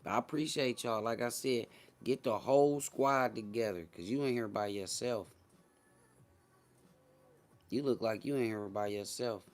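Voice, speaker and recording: A man's voice: fairly low pitch, normal speed, pauses often. A man hosting a casual live stream, chatting with viewers in a slangy, boastful, teasing way.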